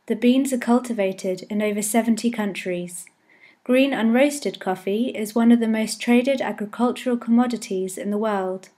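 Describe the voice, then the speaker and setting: A woman reading a scripted documentary narration in an even, informative way as a voice-over. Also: on the high side. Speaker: narrator and presenter.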